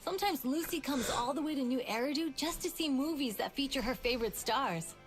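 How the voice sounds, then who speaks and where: A woman's voice: high-pitched, expressive, a little fast, soft background music. An actress voicing a brash, free-spirited young woman who leads a biker gang in a video game, casual and assertive. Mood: easygoing.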